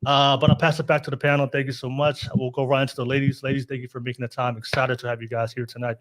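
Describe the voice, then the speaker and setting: A man's voice: mid-range voice, flat delivery, fast talker, a bit bright, rough recording. A man hosting a casual live podcast, upbeat, laughing often and restarting his sentences. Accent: Californian. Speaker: podcast host.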